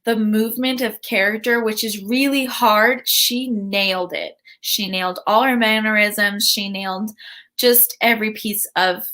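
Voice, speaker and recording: A woman hosting a casual commentary video, chatty and full of ums and restarts, with a voice she calls high-pitched. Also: fairly even intonation, unhurried, bright, forceful tone. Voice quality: says her voice is high-pitched. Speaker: host.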